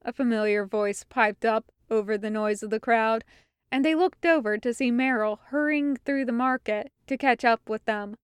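A woman's voice: on the high side, normal speed, clean tone, dry recording, clean studio sound. A woman reading a scripted story as an audiobook narrator. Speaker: fan fiction audiobook reader.

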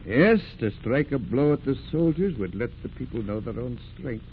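Man as a commanding skipper, lively old-radio delivery. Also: medium pitch, moderate pace, close to an everyday voice. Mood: grave, rousing. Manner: authoritative, dramatic, exclamatory. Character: commanding, deliberate male ship's skipper, weighing rebellion. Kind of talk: 1940s radio drama acting. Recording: muffled, noisy vintage radio drama recording.

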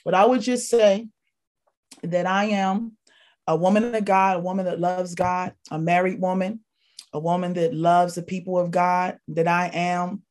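A woman's voice: on the low side, flat delivery, a little slow, a little mellow. A woman from Pittsburgh, a podcast guest, talking casually and rambling with many fillers and repeated phrases. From Pittsburgh, Pennsylvania. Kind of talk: casual spoken testimony.

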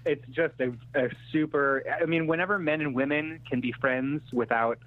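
A male screenwriter talking casually as an interview guest, halting and self-correcting, in a conciliatory way. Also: fairly high pitch. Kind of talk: casual panel discussion. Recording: phone-like band-limited remote line.

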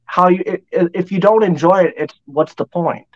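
A man's voice: sits high, moderate pace, highs cut off. A man with a slightly nasal voice, chatting casually as a guest. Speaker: guest, fan group admin. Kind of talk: casual chat.

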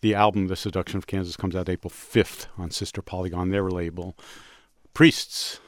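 Low-voiced man co-hosting a music show. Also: level tone, moderate pace, no echo, clean studio sound. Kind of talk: casual radio conversation about music. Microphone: close studio microphone.

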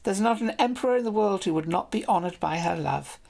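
A woman's voice: mid-range voice, animated, a little fast, gravelly, a little mellow. Woman playing a shy lovestruck knight. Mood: earnest, pleading. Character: young male knight, brave but lovestruck, shy.

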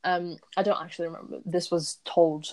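A woman in her twenties chatting casually, an animated storyteller who laughs often. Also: fairly low pitch, fairly quick. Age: 20s. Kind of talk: casual chat.

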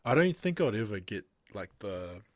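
A man's voice: on the low side, sing-song, moderate pace, dry recording, studio quality. A man with a New Zealand accent hosting a podcast, laid-back and casual, laughing often and calling his guest "bro.". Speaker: podcast host. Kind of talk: casual chat.

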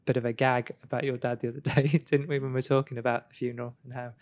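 A man's voice: medium pitch, little pitch movement, very fast, dry recording. A man hosting a podcast, chatting casually and teasing his co-host, laughing often. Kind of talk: casual chat.

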